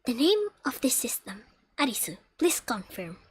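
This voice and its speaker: A woman voicing an amnesiac robot girl who speaks in stiff, halting system reports in a live anime game fandub. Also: high-pitched, husky, voice pushed down, exaggerated voice. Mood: blank, mechanical. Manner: flat, formal, halting machine-like phrasing.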